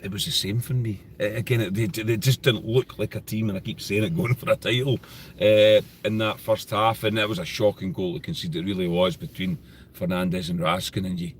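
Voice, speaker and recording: A Scottish man co-hosting a casual football podcast, relaxed and plainspoken with hesitant ums. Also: on the low side, fairly quick, a little hiss or hum. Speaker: podcast co-host.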